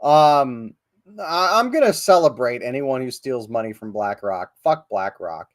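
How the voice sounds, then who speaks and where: Male podcast host, slow and meandering, profane, dry close-mic sound. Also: mid-range voice, animated, dry recording. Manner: rambling, profane, hesitant with many ums. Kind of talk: casual livestream chat. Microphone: livestream podcast mic.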